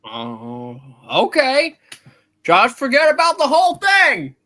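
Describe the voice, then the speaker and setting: A man's voice: extremely high pitch, huge pitch swings, slow pace, pushed and bright, pitched above the performer's own voice, full character voice. A man playing an earnest, restless, dreamy young small-town man in a hammed-up radio play reading, eager and theatrical. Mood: flustered.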